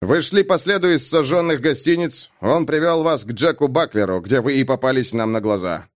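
A man's voice: mid-range voice, normal speed, close to an everyday voice. Audiobook guard captain, male narrator in role: authoritative, stern, clipped and dry delivery. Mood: matter-of-fact. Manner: dry, curt, commanding.

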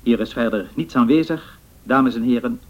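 1930s male newsreader played by an actor for radio drama; formal, measured, husky voice, bulletin style. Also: mid-range voice, dull audio, close to an everyday voice. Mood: formal, solemn.

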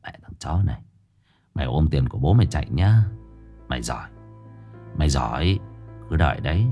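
A man doing a gruff, taunting exorcist. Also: extremely low pitch, moderate pace, darkish tone, pitched below the performer's own voice. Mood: menacing. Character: gruff young male exorcist, mocking. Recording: faint background music.

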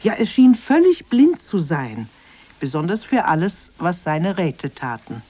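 Female storyteller for children's audio drama: lowish, expressive, dry studio sound. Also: a little slow, dry recording. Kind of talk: scripted children's radio play narration.

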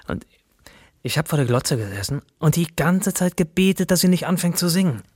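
An actor playing a disgruntled colleague, recounting things colloquially and sardonically in a radio drama. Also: male voice, a little high, lively intonation, a little fast, a little mellow, husky, dry recording, studio quality. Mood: sardonic. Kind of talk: German radio drama monologue and dialogue.

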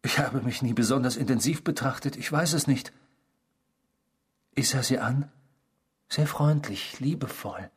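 Casting: creepy obsessive confessor for radio drama, husky mid-range voice, theatrical, savouring, unrepentant. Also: male voice, mid-range voice, a little slow, pauses often. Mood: wistful, savouring. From the Netherlands (character). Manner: theatrical, savouring, unrepentant, mimicking the people quoted. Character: eerie, obsessive male stranger confessing a past crime. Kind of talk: radio drama dialogue, first-person storytelling.

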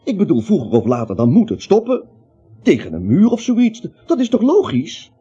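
A man's voice: high voice, wildly swooping pitch, normal speed, mellow, slightly rough, heavily acted. An actor playing a nervous, naive little everyman who rambles, hesitates and justifies himself in a comic radio play. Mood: puzzled, defensive. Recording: muffled old radio play, music behind.

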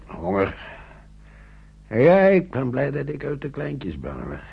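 An older countryman in a radio play, cautious and kindly. His husky voice swings widely in pitch as he hesitates and repeats himself. The old recording is muffled. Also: wildly swooping pitch, slow pace, exaggerated voice. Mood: musing. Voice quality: gravelly, husky. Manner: hesitant, repeating himself, muttering short phrases.

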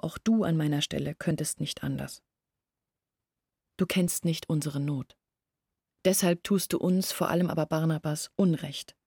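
Troubled young woman in a radio play, raspy breathy voice, dry close sound, confessing and blaming herself. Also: low-pitched, darkish tone, no room sound. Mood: sorrowful, resolute. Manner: confiding, self-reproachful, deliberate. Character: Olga, anguished, earnest young woman confiding her family's hardship. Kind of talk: German radio drama acting.

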